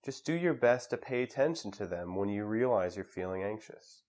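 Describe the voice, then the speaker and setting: A man leading a guided meditation in a calm, measured way with pauses between phrases. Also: lowish voice.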